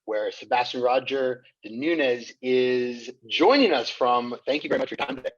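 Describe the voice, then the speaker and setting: Enthusiastic host, dull audio. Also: male voice, medium pitch, animated, normal speed. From United States. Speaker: podcast host. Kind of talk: conversational interview.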